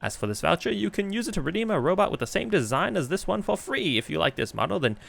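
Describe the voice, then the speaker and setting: A man's voice: high voice, big pitch swings, fast, creaky, fairly bright tone, pitched above the performer's own voice, full character voice. A man reading out the game dialogue of an eccentric, boastful toy inventor in formal, wordy phrasing. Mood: formal, businesslike.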